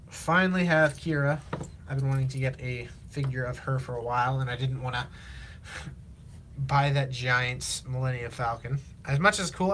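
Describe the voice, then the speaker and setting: A teenage man from Illinois, chatting casually on a live stream while he builds, rambling with lots of fillers, his voice slightly raspy from long talking. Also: mid-range voice, pushed and bright, a little hiss or hum. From Illinois, USA. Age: teens. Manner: rambling, halting, many fillers and restarts. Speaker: livestreamer.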